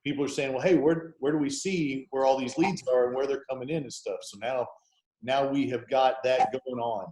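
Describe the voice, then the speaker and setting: A chatty Midwestern man in his forties walks his team through software on a video call. His voice sits at an ordinary pitch, and the room around him sounds echoey. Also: fairly even intonation, moderate pace. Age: 40s. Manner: upbeat, chatty, folksy. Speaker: real estate team leader hosting a video meeting.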